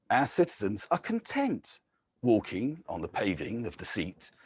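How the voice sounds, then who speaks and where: A man, a poet, reading his own poems in a measured, deliberate way, with short casual introductions between them. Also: lowish voice, slightly rough. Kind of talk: poetry reading with spoken introductions.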